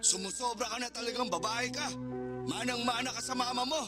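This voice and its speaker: Angry father, radio drama, rapid confrontational speech, scolding, music behind. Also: very high voice, steady tone. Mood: angry, threatening. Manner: confrontational, indignant.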